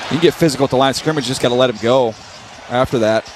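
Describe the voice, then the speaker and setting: A man's voice: mid-range voice, very fast, a crowd in the background, background noise. A man giving conversational color analysis during a live football broadcast, reacting casually to the plays.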